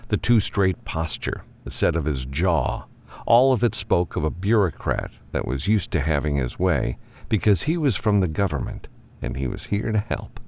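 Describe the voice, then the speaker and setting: A man's voice: extremely low pitch, sing-song, normal speed, vocal fry, a little mellow. A man narrating an audiobook from a script as a storyteller.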